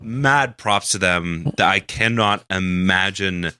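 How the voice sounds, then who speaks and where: Low, bright-voiced earnest man. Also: slow pace, clean tone, no room sound, clean studio sound. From Canada. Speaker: radio show co-host. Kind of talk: casual chat.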